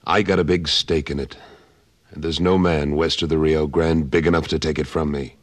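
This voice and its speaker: An actor playing a tough, steady cattleman and war veteran in an old-time radio western, terse and firm. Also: rumbling low, normal speed. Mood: proud, hard.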